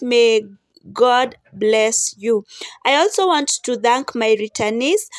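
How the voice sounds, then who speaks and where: High-voiced woman vlogger, close and dry. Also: no room sound. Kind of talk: casual voice-over talk to viewers.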